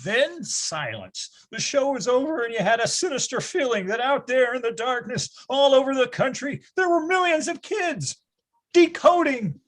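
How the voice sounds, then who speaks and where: Male actor as wry grown-up recalling boyhood in readers theatre: theatrical, very animated, slightly rough high voice. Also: moderate pace, heavily acted. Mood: ominous. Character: grown man wryly recalling his boyhood, grandiose and dramatic. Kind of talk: readers theatre first-person storytelling.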